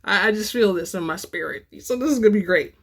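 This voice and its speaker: A woman hosting a dramatic book reading, moving between informal, expressive chat and narration. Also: medium pitch, fast talker. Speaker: host and reader of book samples.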